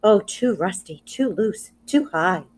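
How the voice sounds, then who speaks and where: A woman's voice: mid-range voice, expressive, slow pace, darkish tone, pitched above the performer's own voice. Woman reading as an anxious little storybook mouse girl. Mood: worried. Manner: fretful questioning.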